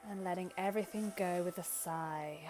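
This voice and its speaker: A woman leading a guided meditation in gentle, soothing, unhurried short phrases with long pauses. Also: fairly low pitch. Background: background music.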